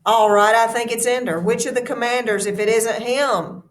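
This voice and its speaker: A woman's voice: fairly high pitch, moderate pace, natural voice. A woman reading a novel aloud as an earnest male army lieutenant with an urgent, insistent manner. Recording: very echoey room, distant mic.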